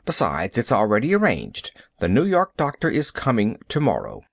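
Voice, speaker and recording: A man's voice: medium pitch, wildly swooping pitch, normal speed, creaky, no room sound, clean studio sound, exaggerated voice. A man voicing a stern, anxious middle-aged aunt who speaks stiffly and hesitantly in a storybook reading.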